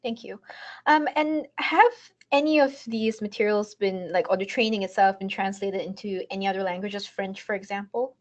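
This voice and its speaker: A woman's voice: mid-range voice, normal speed, muffled recording. Lady hosting a webinar q&a, reads out questions, light friendly tone, on a video call. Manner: light, friendly, laughs briefly. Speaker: webinar moderator. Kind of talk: reading audience questions.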